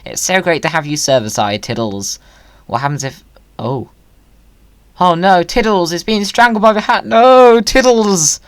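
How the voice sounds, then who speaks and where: Chatty excitable gamer host, mid pitched voice, whimsical and playful, dry close mic. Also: unhurried, clean tone, no room sound. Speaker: video host. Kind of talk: playful gaming video commentary.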